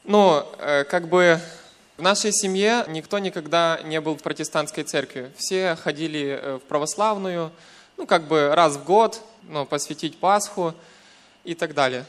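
A young man from western Ukraine with a Ukrainian accent giving a personal testimony in church, in short hesitant segments with pauses. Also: on the high side. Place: church, speaking to a congregation.

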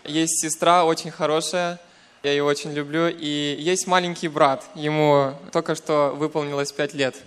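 A young man from western Ukraine with a Ukrainian accent giving a personal testimony in church, in short hesitant segments with pauses. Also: on the high side, little pitch movement, a bit bright, clear, resonant tone. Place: church, speaking to a congregation.

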